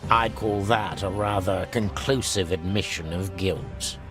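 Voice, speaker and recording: An actor playing a pompous, contemptuous prison warden in a video game, with a sneering, florid delivery. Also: male voice, deep voice, measured pace, music in the background. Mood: callous, dismissive.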